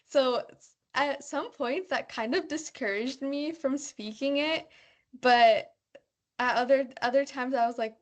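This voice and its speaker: A woman's voice: sits high, unhurried. A young woman, a student abroad, chatting casually about daily life, with many fillers and "like"s. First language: bilingual. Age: young adult. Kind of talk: casual conversation.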